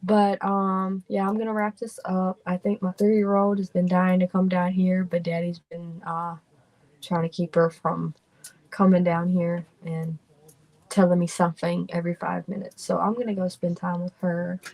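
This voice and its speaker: A young adult woman hosting a livestream in a relaxed, drawling, chatty way. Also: on the low side, moderate pace, poor audio. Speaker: streamer and host. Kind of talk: casual livestream chat.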